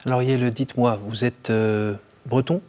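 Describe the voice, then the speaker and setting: A man interviewing a guest, asking gentle, probing questions with many fillers. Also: medium pitch, steady tone, a little slow.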